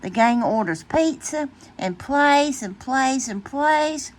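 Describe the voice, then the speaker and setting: Slow, animated woman reading bedtime stories. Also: high-pitched. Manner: expressive, deliberate. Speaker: storytime reader.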